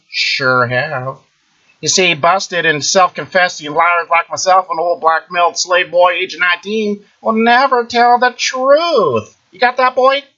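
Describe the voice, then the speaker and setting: A smug self-proclaimed mind-reader taunts in a bright, tense, fairly high voice, as a man puts him on. He swings up into squeaky peaks and sinks into low muttering, and he hangs drawn-out "mm-hmm"s on his boasts. The recording is muffled and lo-fi. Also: heavily acted. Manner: taunting, sing-song, drawn-out "mm-hmm" and "I sure do" tags. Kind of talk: mocking satirical monologue.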